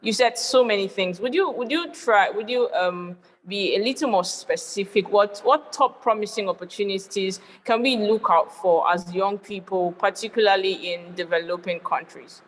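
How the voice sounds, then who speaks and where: A woman's voice: mid-range voice, normal speed, hardly any pauses, slight background noise. A woman hosting a talk show, with a mid-range voice. She heaps praise on her guest in an effusive way. Her voice carries a long reverb, as if she is in a hall. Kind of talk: hosting an interview.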